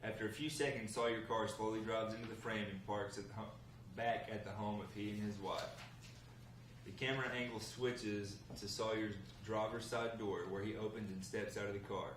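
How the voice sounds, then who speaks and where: A man's voice: lowish voice, fairly even intonation, moderate pace, a bit bright. A man reading scene descriptions and camera directions as scripted narration at a table read. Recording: echoey room, distant mic, faint noise.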